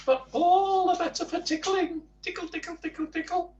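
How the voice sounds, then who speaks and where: A man's voice: very high voice, fairly even intonation, moderate pace, darkish tone, roomy sound, recorded from a distance, lo-fi sound, a little hiss or hum, voice pushed up. A man voicing a cheerful, mischievous, long-armed storybook tickler. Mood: playful.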